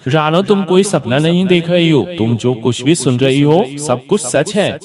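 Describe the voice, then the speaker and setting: A man voicing a kindly, calm magical rose in a cartoon fairy story. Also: on the high side, close to an everyday voice.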